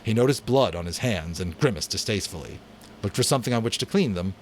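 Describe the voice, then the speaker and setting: A man's voice: on the low side, animated, a little hiss or hum, rough recording. Man reading a novel dramatically. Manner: measured, dramatic. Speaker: narrator and amateur voice actor.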